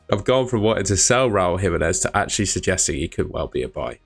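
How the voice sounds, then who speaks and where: A man, hosting a fantasy football channel, talking casually to camera, his voice croaky from shouting, chatty and thinking aloud with asides. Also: sits low, very animated, moderate pace, faint music behind.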